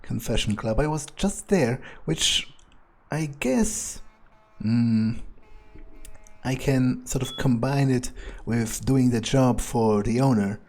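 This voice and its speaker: A man roleplaying a cold, entitled, predatory vampire, musing aloud and swearing in husky in-character game commentary. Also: mid-range voice, a little mellow, faint music behind. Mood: brooding. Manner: muttering to himself, swearing, deliberate musing. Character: cold, cruel, foul-mouthed male vampire, entitled and predatory. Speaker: gamer playing in character.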